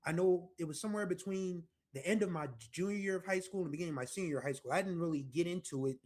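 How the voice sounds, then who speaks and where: An American man in his forties talks through a music episode in a loose, chatty way, wandering into asides and correcting himself as he goes. His voice sits a little higher than most men's. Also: rapid-fire, a little mellow. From United States. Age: 40s. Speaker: music podcast host. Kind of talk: casual music commentary.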